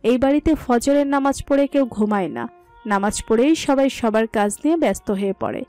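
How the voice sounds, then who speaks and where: A woman's voice: a little high, lively intonation, moderate pace, a little mellow, no echo, soft background music. A woman reading a scripted story as its narrator.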